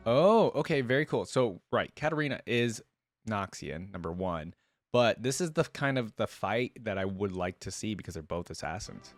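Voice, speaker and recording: A man with a fairly low voice hosts a casual reaction video, thinking out loud in a curious way. The sound is dry and close, with some music behind him. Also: lively intonation, moderate pace, no room sound.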